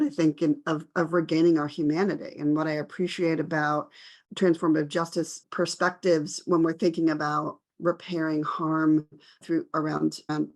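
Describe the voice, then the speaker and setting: A woman moderating an online panel, reflective and appreciative as she thanks speakers and reads out questions. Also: low voice.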